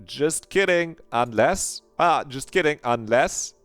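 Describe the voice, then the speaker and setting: A man puts on a cocky teenage girl with a raised, bouncy voice. She teases and coaxes in a sing-song lilt, confident and playful. The voice stays smooth and clear. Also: a little high, lively intonation, slow, fairly bright tone, no echo, faint music behind, voice pushed up. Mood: teasing. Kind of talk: visual novel read-aloud.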